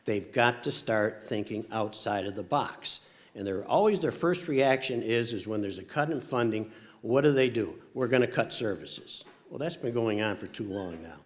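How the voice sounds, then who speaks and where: A man's voice: fairly low pitch, expressive, moderate pace. A man making emphatic panel remarks, often repeating words as he starts a sentence.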